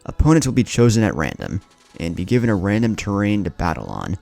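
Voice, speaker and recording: A man voicing a commanding, solemn male tournament master in a narrated story, announcing loudly and ceremonially. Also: fairly low pitch, huge pitch swings, fast, gravelly, no room sound, soft background music, heavily acted. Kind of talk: narrated fan-fiction story.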